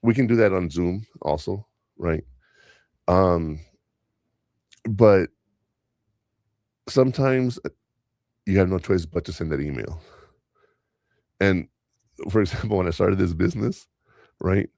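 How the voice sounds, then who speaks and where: A deep-voiced man with a gravelly edge hosts a casual podcast. Spanish is his first language. He rambles through stories from his work, chuckling here and there. The sound is dry and close, with no room echo. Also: slow pace, pauses often, a little mellow. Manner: rambling, anecdotal, chuckles often. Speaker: podcast host and school teacher.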